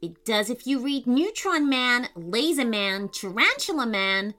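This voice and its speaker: A woman voicing an excitable young boy, an eager comic-book fan who speaks in exclamations. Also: on the high side, measured pace, faint music behind, exaggerated voice. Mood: excited.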